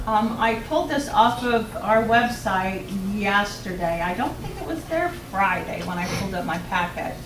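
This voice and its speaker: A woman giving earnest public comment at a township meeting, halting with many ums. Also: medium pitch, lively intonation. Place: township hall meeting room. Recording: echoey room from a far mic, noisy.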